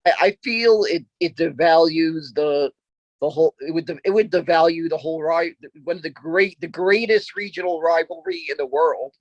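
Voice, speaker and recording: Higher-voiced man talking sports casually. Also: normal speed, no echo, highs cut off. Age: 30s. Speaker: sports podcast co-host.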